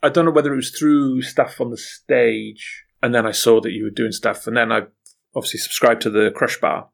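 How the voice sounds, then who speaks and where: A man's voice: medium pitch, steady tone, a little fast, creaky. A man hosting a podcast interview, talking hesitantly with repeated words and fillers. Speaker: podcast host. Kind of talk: casual interview chat.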